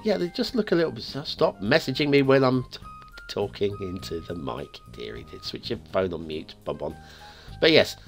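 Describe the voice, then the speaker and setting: A man's voice: fairly low pitch, bright, forceful tone. A man hosting a game map review, talking in rambling, chatty commentary with joking asides, and wheezing a little from asthma. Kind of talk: casual gameplay commentary.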